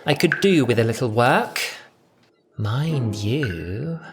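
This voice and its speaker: A man's voice: medium pitch, lively intonation, takes it slowly, noisy background, pitched above the performer's own voice. A man voicing a vain, vengeful aristocratic woman in a snooty, theatrical way for an audio story. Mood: huffy, flustered. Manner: snarling, huffy, theatrically posh. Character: haughty, vain, vengeful aristocratic villainess in a stolen body.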